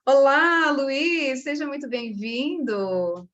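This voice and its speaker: A woman's voice: high voice, takes it slowly, fairly bright tone, dull audio. A woman with a Brazilian Portuguese accent hosting a podcast, chatty and enthusiastic. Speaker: podcast host.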